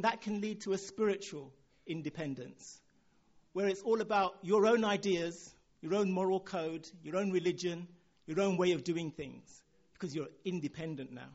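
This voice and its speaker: Man, Nigerian accent, preacher, high voice, muffled lo-fi sound. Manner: relaxed, chuckling, with fillers. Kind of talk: sermon.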